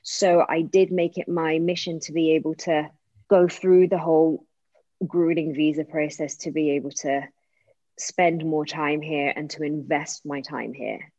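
Actress, guest seat, very low voice, chatty and restless, dull lo-fi sound. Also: female voice, a little slow, dry recording. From England. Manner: chatty, animated retelling with many fillers and restarts. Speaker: actor, interview guest. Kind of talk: casual interview chat. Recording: dull lo-fi recording.

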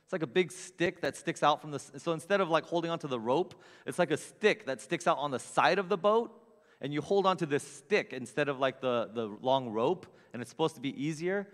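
Guy preaching, kinda high voice, gets loud telling stories on himself, big echoey church. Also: brisk. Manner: animated, self-mocking storyteller with big swings in loudness. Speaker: pastor. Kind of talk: storytelling sermon. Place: church sanctuary with long reverb.

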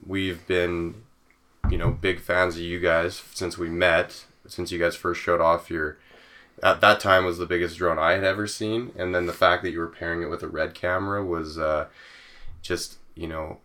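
Male podcast host, low and forceful tone, loose and chatty, restarts his sentences a lot. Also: flat delivery, moderate pace. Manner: rambling, with many restarts and fillers. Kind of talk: casual interview chat.

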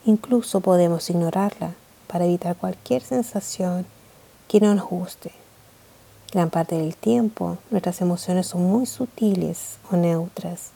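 A woman leading a guided meditation in a calm, gentle, measured way of speaking. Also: mid-range voice, gravelly, slightly rough.

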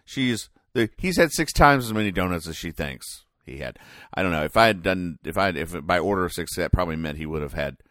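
Male comedy podcast host, deep voice, halting deadpan explainer, close dry recording. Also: dry recording. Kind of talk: comic commentary describing newspaper comic strips.

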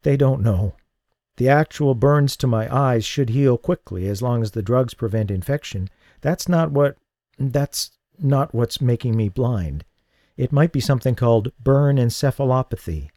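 A man voicing a young woman left blinded and burned, speaking softly with a strained, halting manner in an audiobook. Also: mid-range voice, lively intonation, dry recording, studio quality. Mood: shaken. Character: injured young woman, blinded, fragile and shaken.

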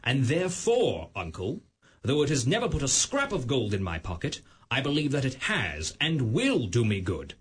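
An earnest, cheerful young nephew pleads in a very breathy voice. His pitch leaps high and low with feeling. A radio play where warmth keeps pushing through. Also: huge pitch swings, normal speed, exaggerated voice.

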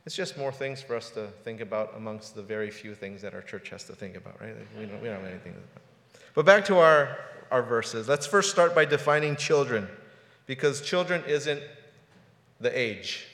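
A man's voice: mid-range voice, expressive, normal speed, fairly bright tone. A pastor in his forties preaching a sermon in a casual, conversational way, often repeating words as he talks. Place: church sanctuary. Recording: roomy hall reverb.

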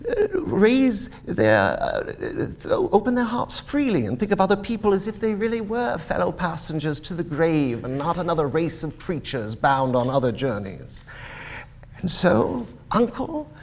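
High-pitched, cheerful young nephew. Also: male voice, sing-song, measured pace, vocal fry, full character voice. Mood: earnest. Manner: exclamatory, warm-hearted pleading. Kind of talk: storybook character voice in dramatic reading.

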